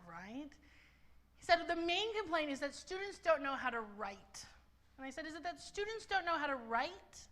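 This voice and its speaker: A woman in her thirties, a university writing instructor, giving a chatty talk full of "um"s and story asides, speaking loudly into a clip-on mic. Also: high voice. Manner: loud, chatty storyteller with many ums. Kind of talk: conference presentation with anecdotes. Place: library presentation room. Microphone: clip-on mic on her skirt.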